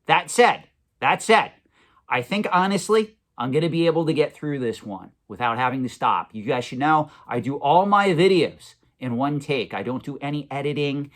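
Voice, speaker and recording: A man's voice: on the high side, animated, moderate pace. Congested man, emphatic talking-head monologue. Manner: emphatic, repeats himself, swings loud and soft. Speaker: collectibles investment YouTuber. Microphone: camera mic, self-recorded.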